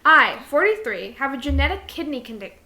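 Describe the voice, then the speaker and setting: Young woman, high-pitched, bright sharp tone, casual podcast co-host, giggly, irreverent. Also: big pitch swings, a little fast. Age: young adult. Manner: giggly, irreverent, breaks off into joking asides. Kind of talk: casual chat and reading a post aloud.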